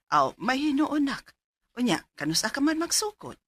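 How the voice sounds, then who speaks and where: A woman's voice: high voice, sing-song, normal speed, a bit bright, no echo, heavily acted. An actress playing a middle-aged, fussy but caring mother in a Filipino radio drama, nagging expressively with a Cebuano accent. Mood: motherly. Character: fussy, caring, scolding mother of grown son.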